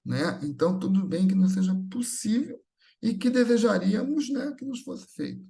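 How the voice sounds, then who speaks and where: A man's voice: high voice, lively intonation, moderate pace, gravelly, dark tone. A man with a Brazilian accent giving a conversational spiritual talk, teaching with repeated phrases, rhetorical questions and check-in tags. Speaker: speaker at a Spiritist gathering.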